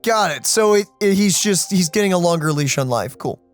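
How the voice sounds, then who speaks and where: A man's voice: high voice, expressive, brisk, faint music behind. A man giving casual, jokey, slangy let's play commentary as a streamer.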